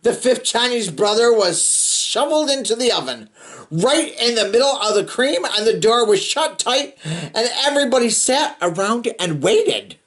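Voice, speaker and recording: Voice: medium pitch, big pitch swings, moderate pace, a bit bright. A storybook reader reading aloud to children in a theatrical, playful way with chatty asides.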